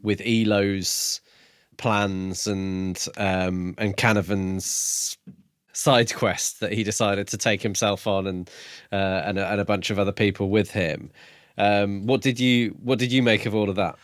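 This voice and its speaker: A man's voice: lowish voice, fairly even intonation, a little slow, no room sound, clean studio sound. A man co-hosting a podcast, casually discussing books in a thoughtful, agreeable manner. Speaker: podcast co-host.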